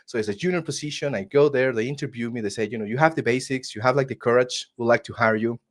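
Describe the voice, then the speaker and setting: A man's voice: mid-range voice, fast. A man from Costa Rica with a Costa Rican Spanish accent, telling his story earnestly as a guest on a video call, often restarting his words. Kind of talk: casual interview storytelling.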